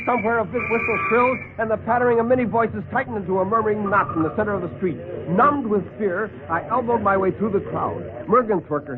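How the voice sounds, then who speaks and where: A man's voice: high voice, noisy background, pitched above the performer's own voice, lightly acted. An actor playing a brash, slangy newspaperman who narrates with punchy tough-guy delivery in a classic radio drama. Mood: urgent, shaken. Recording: muffled vintage radio recording with music.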